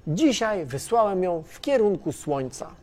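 Animated man presenting science for kids. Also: high-pitched, a little slow, noisy background. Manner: animated, conversational, addresses listeners directly. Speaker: host of a children's science series. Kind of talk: playful scripted presenting to children.

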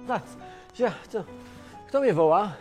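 A woman's voice: medium pitch, lively intonation, measured pace, warm tone, soft background music, natural voice. A performer voicing a curious, good-natured young man at a flight control center in a children's educational cartoon, easygoing and a little clueless. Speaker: flight control operator character.

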